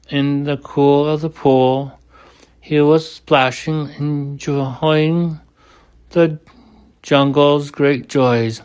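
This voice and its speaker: A man reading a children's story aloud as expressive audiobook narration. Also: a little high, smooth. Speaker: storybook reader.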